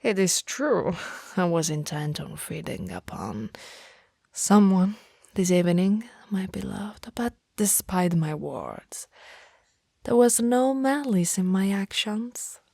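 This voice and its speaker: Ancient vampire lady, played by a woman, ASMR roleplay: mellow, dry close sound, hesitant formal speech, tender and hungry. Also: medium pitch, animated, slow pace, no echo, exaggerated voice. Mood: remorseful, tender. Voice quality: soft, breathy. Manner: formal archaic phrasing, tender, hesitant, often whispering. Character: centuries-old female vampire, lovestruck, remorseful, hungry, formal. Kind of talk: ASMR roleplay, slow intimate monologue.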